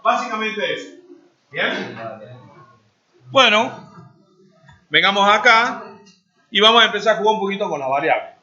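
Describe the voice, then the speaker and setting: A man's voice: high voice, expressive, normal speed, long pauses, bright, forceful tone. A man, teaching a programming class live, talking while he types and checking in with students. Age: 40s. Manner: talks while typing, asks students checking questions. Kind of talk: live classroom coding lesson. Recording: dull lo-fi classroom recording.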